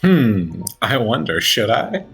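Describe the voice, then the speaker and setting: A man's voice: mid-range voice, sing-song, takes it slowly, creaky, a bit bright, husky, faint music behind. A man playing a tabletop game, bantering casually with sarcasm and swearing.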